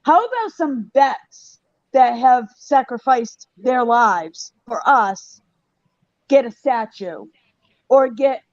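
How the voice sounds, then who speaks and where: A woman speaks slowly and with feeling as a guest, indignant at the neglect she describes. Her voice sits a little high. The audio is dry and somewhat muffled. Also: animated, no room sound. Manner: heartfelt, indignant. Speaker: guest, Gold Star mother. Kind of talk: casual panel talk.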